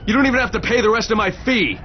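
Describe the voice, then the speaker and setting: Male actor as sardonic game hero, gruff and terse, raised pitch, dull audio with some noise. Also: rapid-fire, close to an everyday voice. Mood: angry, sardonic. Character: gruff, defiant male undercover operative in a zombie outbreak. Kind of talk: video game cutscene acting.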